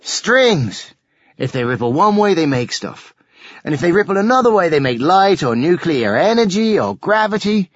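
A man plays a brainy schoolboy in a story, high and animated. He lectures with earnest, pedantic emphasis. The recording is very dry. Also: normal speed, dry recording, highs cut off. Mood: earnest, enthusiastic. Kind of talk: audiobook character reading.

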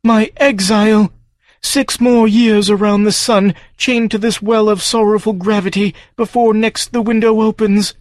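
A man's voice: high-pitched, no room sound, voice pushed up. A man voicing a huge many-legged alien farm creature, grief-stricken and theatrical, wailing in a florid, dramatic way.

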